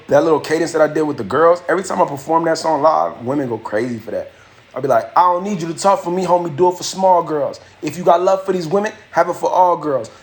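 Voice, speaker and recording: A young man hosting a casual live stream, chatting loosely with viewers in slangy speech and answering their comments. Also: on the high side. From Haitian family. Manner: raps his verse with a raised, rhythmic delivery. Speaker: livestream host and rapper.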